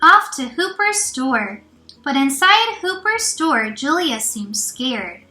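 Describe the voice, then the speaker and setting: High, bright woman reading slowly to children. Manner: expressive, child-directed, with encouraging asides. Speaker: teacher reading aloud. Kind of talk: children's storybook read-aloud. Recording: roomy echo, faint music.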